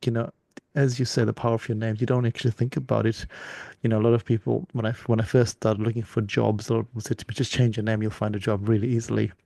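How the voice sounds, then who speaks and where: Podcast host guy from zimbabwe, talks quick, gravelly low voice, kinda mellow, repeats himself a lot. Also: little pitch movement, dry recording, studio quality. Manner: warm, affirming, repeats words as he thinks. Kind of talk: conversational interview.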